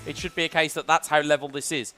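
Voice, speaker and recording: A man's voice: a little high, flat delivery, fast talker, sharp tone, soft background music. A British man giving fluent, analytical play-by-play commentary on a live esports match. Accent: British English. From United Kingdom. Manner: fluent, analytical broadcaster delivery.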